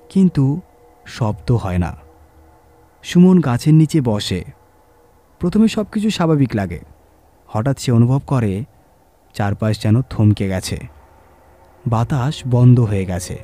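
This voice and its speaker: A man's voice: medium pitch, sing-song, unhurried, pauses often, mellow. A man reading a scripted horror story as narrator, in a dramatic storytelling style.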